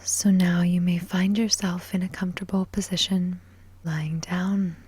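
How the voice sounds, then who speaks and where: A woman guiding a sleep hypnosis in ASMR style, soft, breathy and whispery, soothing and measured, with long pauses between short phrases. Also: lowish voice, little pitch movement. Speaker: hypnosis and meditation guide.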